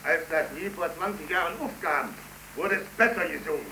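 An actor playing a grumbling older factory smith, scornful of the young, in 1930s German radio drama style. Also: male voice, on the high side, a little fast, sharp tone. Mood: bitter, scornful. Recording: echoey, noisy 1931 radio recording.

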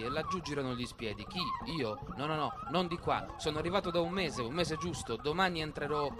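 Un attore che interpreta un giovane barone ingenuo ed eccitabile, appena arrivato in città, in un monologo comico teatrale pieno di esitazioni e ripetizioni. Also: male voice, fairly high pitch, lightly acted. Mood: flustered wonder. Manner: chattering, hesitant, repeating himself. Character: naive, excitable young baron, newcomer gawking at the city.

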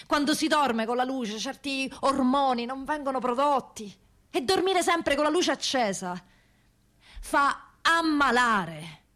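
High-voiced woman prisoner, indignant. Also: animated, slow, a bit bright, heavily acted. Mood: weary indignation. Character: woman prisoner, weary and indignant, recounting cell life. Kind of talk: theatrical radio monologue.

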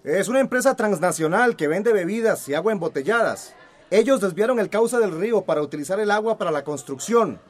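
An agitated, distrustful village man in a radio drama. His voice is notably high for a man, and he speaks with skeptical emphasis. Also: animated, a little fast. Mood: suspicious. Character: suspicious, agitated male villager.

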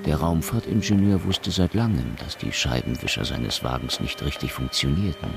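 An actor as a solemn storyteller, narrating a science fiction radio play in a measured, literary way. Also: male voice, extremely low pitch, creaky. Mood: solemn narration. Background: music.

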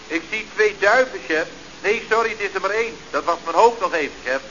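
Hapless high-voiced underling, old radio. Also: male voice, brisk, bright, forceful tone. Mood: earnest. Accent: Netherlands Dutch. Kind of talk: 1970s radio comedy acting. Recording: dull, hissy old radio recording.

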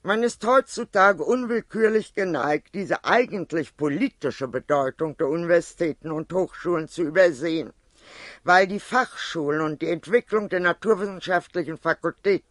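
Male lecturer, slightly high register, scripted academic address, careful and exact phrasing, very little room sound. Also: fairly bright tone, no echo, clean studio sound. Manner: measured, formal, precise. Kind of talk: formal scripted philosophical lecture.

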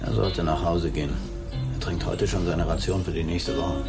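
An obliging barkeep, chats politely in a very low voice. Music plays clearly behind him in this radio crime play. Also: male voice, steady tone, moderate pace. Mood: obliging, chatty. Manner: polite, chatty. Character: chatty, obliging male bartender of a new bar.

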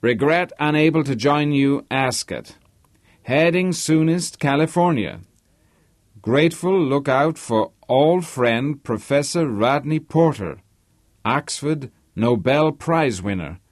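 A man's voice: fairly high pitch, animated, slow, dry recording, studio quality, voice pushed up. A man voicing a boastful, blustering, talkative rich American racehorse owner in an audiobook. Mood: loud, jovial. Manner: loquacious, blustering. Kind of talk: audiobook character voice.

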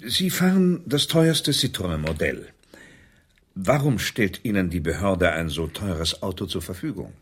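A man's voice: sits low, animated, a little slow, slightly rough, exaggerated voice. A male actor in a radio crime drama playing a formal, suspicious police investigator who questions in an officious, probing way.

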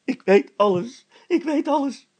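A man voicing a stubborn, hot-tempered housekeeper woman in a storybook, shrill and vehement, repeating herself. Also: animated, unhurried, darkish tone, no room sound, full character voice. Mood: accusing.

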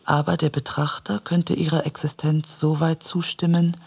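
A man reads scripted literary prose in a husky, creaky voice pitched somewhat high. His delivery is measured and contemplative. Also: level tone, darkish tone. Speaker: narrator.

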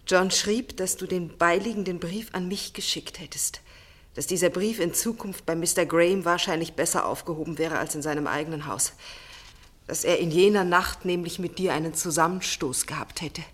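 An actress playing a shaken, earnest daughter in a German radio play, with deliberate dramatic phrasing. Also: female voice, on the low side, close to an everyday voice. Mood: agitated, earnest. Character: Maura, a shaken, earnest daughter recounting grim events.

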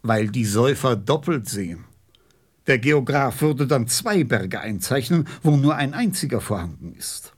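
A pompous old geographer in a radio play. The voice is husky and slow, with big swings in pitch. Every statement comes out pedantic and full of self-importance. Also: male voice, animated.

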